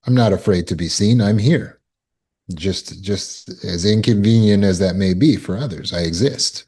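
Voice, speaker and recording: A man teaching a self-help lesson as a recovery coach, speaking slowly and deliberately and repeating affirmations. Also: on the low side, mellow, husky.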